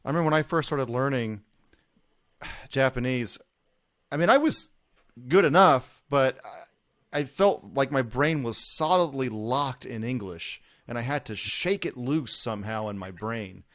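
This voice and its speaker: A man's voice: medium pitch, animated, measured pace, a bit bright. A man hosting a casual interview, chatty and self-deprecating, who laughs easily.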